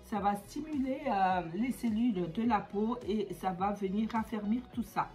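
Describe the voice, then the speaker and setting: A woman with a cold-stuffed, nasal voice talks her viewers through a beauty routine in a loose, chatty way, often pausing on fillers. Her pitch sits in an ordinary middle range. Faint music plays softly behind her. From Comoros. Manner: chatty, many hesitations. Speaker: beauty vlogger. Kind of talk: casual how-to vlog.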